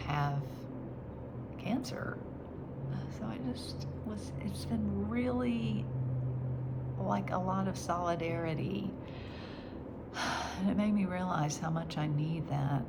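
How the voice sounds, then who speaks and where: A woman talking in a reflective faith vlog, soft and halting, her voice nasal after crying. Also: on the low side. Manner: soft and halting, slipping into whispers. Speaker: vlogger. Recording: steady background noise.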